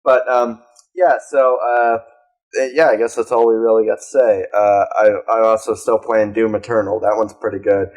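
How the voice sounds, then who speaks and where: A man's voice: fairly low pitch, flat delivery, normal speed, darkish tone. A young adult man co-hosting a podcast in casual, rambling chat sprinkled with swearing. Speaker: podcast co-host.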